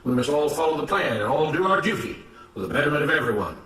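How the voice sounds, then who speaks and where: A man's voice: fairly high pitch, animated, fast, sharp tone, voice pushed up. A man voicing an authoritarian plantation boss in a story, lecturing on order and duty with pompous self-righteousness. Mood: stern, righteous. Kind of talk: dramatized story reading. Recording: roomy, noisy, distant microphone.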